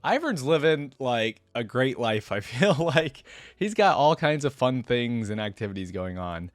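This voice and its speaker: A man's voice: mid-range voice, big pitch swings, unhurried, dry recording. A man giving casual, rambling reaction commentary, peppered with "like" and "you know". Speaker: YouTube reaction host. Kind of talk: casual reaction commentary and read-aloud story.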